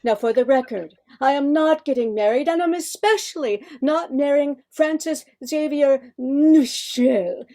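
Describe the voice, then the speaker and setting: A woman's voice: sits high, mellow. A woman playing a headstrong, witty woman professor of nearly thirty in a radio comedy play, with dry retorts. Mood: exasperated, wry. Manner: dry, quick-witted retorts.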